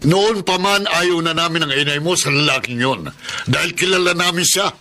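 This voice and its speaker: A man's voice: a little high, moderate pace, sharp tone, soft background music. A man with a Filipino accent playing a protective, exasperated older father who scolds emphatically in a radio drama. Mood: indignant.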